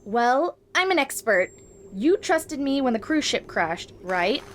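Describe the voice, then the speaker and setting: A woman's voice: high-pitched, very animated, slow pace, slight background noise, exaggerated voice. A woman voicing a cheerful young girl robot who gushes romantically about matchmaking, in a comic dub. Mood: dreamy, coaxing. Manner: gushing, girlish.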